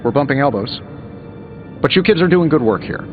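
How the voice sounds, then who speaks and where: Guy doing wisecracking rich inventor superhero for a game, swingy highish voice, glib and wry, music loud behind. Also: lively intonation, a little fast, narrow, tinny audio, natural voice. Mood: conciliatory. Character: wisecracking, easygoing wealthy male superhero inventor. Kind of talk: video game dialogue acting.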